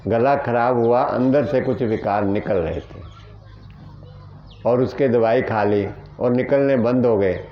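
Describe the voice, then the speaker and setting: A man's voice: mid-range voice, unhurried, dull audio, a little hiss or hum. A man teaching naturopathy, answering questions in an earnest, plain way and asking rhetorical questions.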